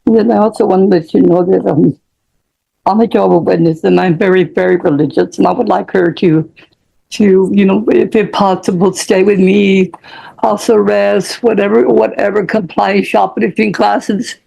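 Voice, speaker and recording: A woman's voice: medium pitch, steady tone, normal speed, gravelly, mellow, rough recording. An older woman giving an emotional, rambling plea as a witness in a courtroom. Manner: rambling, pleading. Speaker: witness, defendant's mother.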